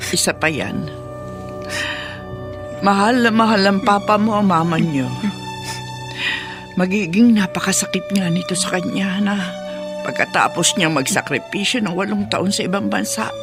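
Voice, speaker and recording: A woman's voice: mid-range voice, moderate pace, long pauses, over music, lightly acted. A woman playing a fretful, outspoken old grandmother in a radio drama, with exclamatory, emphatic delivery. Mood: resigned, sorrowful. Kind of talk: Filipino radio drama acting.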